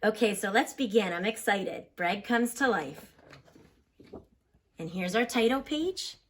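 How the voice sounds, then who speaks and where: A woman's voice: mid-range voice, animated, a little slow, a little hiss or hum. A woman teacher reads a children's book aloud expressively, stopping to explain words and pose questions in an instructive way. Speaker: elementary school teacher reading a picture book aloud.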